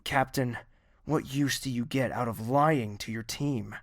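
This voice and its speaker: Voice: mid-range voice, a little slow. An actor playing a stern royal ruler in a fantasy audio drama, commanding and curt, expecting obedience. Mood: cold, questioning. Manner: authoritative, curt, imperious.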